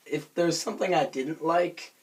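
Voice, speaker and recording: Hoarse, raspy young man vlogging. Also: fairly high pitch, normal speed, rough recording. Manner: self-conscious, rambling with many fillers and restarts. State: hoarse from screaming. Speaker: vlogger reviewing a cartoon episode. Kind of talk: casual rambling vlog.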